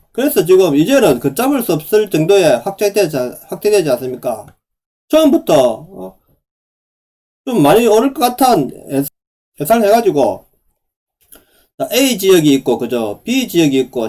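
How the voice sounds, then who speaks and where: A middle-aged man with a sore, hoarse throat, giving insistent solo commentary on housing policy and asking the viewer to agree again and again. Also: a little high, warm tone. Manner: repeats "그죠?" to the viewer, rhetorical and insistent. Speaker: real estate commentator.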